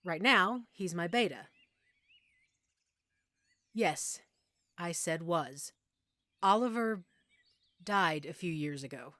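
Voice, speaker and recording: A woman playing a middle-aged, self-assured werewolf pack alpha in an intimate audio roleplay, explaining things conversationally with measured pauses. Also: fairly low pitch, expressive, sharp tone, dry recording, exaggerated voice. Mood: subdued, guarded.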